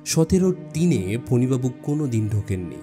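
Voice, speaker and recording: A man narrating a suspense story dramatically, with expressive phrasing and deliberate pauses. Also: mid-range voice, soft background music. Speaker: audiobook narrator.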